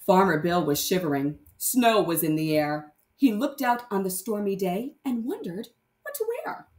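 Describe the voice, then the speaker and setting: A woman teacher with a mid-pitched voice gives a read-aloud math lesson for small children. Her delivery is lively and instructional, swinging up and down on key words. The room adds noticeable echo. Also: normal speed.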